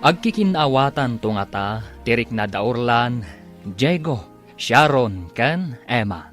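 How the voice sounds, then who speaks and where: A Filipino man delivers scripted drama announcements in a medium-pitched, expressive voice. His theatrical, drawn-out phrasing sits over a music bed.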